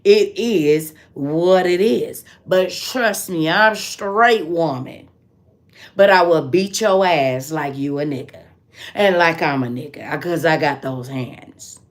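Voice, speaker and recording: A raspy-voiced woman hosting a live stream, talking bluntly and preachily. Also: low voice, slow pace. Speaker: livestream host.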